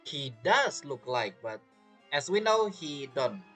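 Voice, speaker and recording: Male gaming commentator: forceful bright tone, animated intonation, casual and amused, with halting phrasing. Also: fairly high pitch, breathy edge. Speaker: gaming content creator playing through a story quest. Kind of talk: casual live game commentary. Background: game music.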